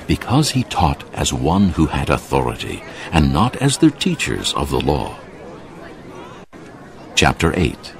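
Very deep, gravelly male narrator. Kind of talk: scripted narration of dramatised audio Bible.

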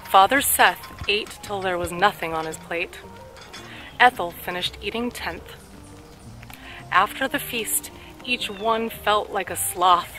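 Woman reading a story aloud over music. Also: medium pitch. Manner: deliberate, carefully articulated storytelling delivery. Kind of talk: scripted story read-aloud for speech practice. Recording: clear background music under the voice.

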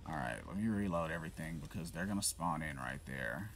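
A man's voice: rumbling low, animated, fairly quick, fairly bright tone. A man giving casual, rambling commentary while playing a shooter game, cursing and joking at himself. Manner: rambling, self-mocking, swears and vents at the game. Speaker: gaming channel host.

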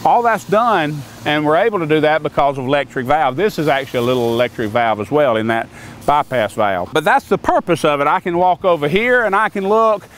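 A man's voice: a little high, expressive, fairly quick, a bit bright, slight background noise. An older man gives a plain-spoken, folksy walkthrough of sawmill equipment outdoors, often restarting his phrases. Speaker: sawmill maker explaining equipment. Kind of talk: casual outdoor equipment demonstration. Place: outdoors on a grassy lot beside a sawmill.

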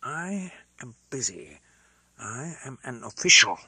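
A furtive man who speaks low and abrupt, always vexed and wary, as a male narrator plays him. His tone is bright and slightly husky. The recording is dry and close. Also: sing-song, takes it slowly, no room sound, heavily acted.

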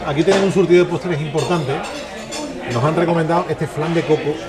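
A man hosting a food show, chatting briskly and prompting his guest with short questions at a restaurant table. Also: a little high, steady tone, over music. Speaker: show host.

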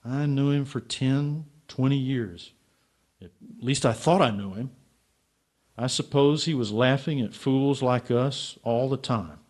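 A man's voice: medium pitch, a little slow, a little mellow, lightly acted. A man playing a bitter, lovelorn, hard-drinking writer in a radio drama, brooding and stubborn. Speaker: actor and narrator. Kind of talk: radio drama acting and first-person narration.

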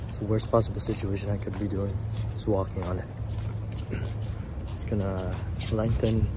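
Hoarse young man vlogging in pain outdoors. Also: on the low side. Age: young adult. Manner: groaning, talking while limping. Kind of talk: casual walking vlog. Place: outdoors at night on a city campus. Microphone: phone held at arm's length. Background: street traffic.

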